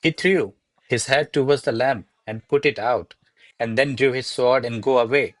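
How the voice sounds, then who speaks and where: A man's voice: mid-range voice, moderate pace, no room sound, lightly acted. A man playing a deferential, flustered servant to a noble lady in a radio play, speaking formally and placatingly. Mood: defensive. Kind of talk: radio play acting of a classic comedy.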